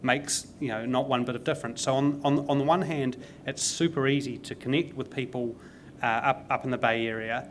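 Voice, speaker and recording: A man's voice: medium pitch, steady tone, a little fast, a little hiss or hum. Emphatic New Zealand man hosting a panel. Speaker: panel host. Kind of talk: casual panel discussion.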